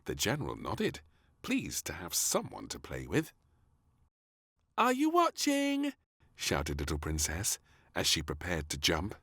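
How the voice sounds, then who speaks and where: A man narrating a scripted children's story in an expressive, theatrical manner. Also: very deep voice, normal speed, breathy edge, no echo, clean studio sound.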